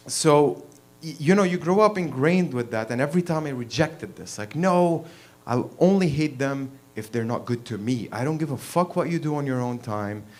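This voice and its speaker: A man in his thirties, from Lebanon, tells a frank personal story on a small stage. He talks bluntly and swears freely, laughing and pushing his voice up when he gets fired up. His voice is of middle pitch, heard through a handheld mic. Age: 30s. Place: small performance venue, in front of an audience.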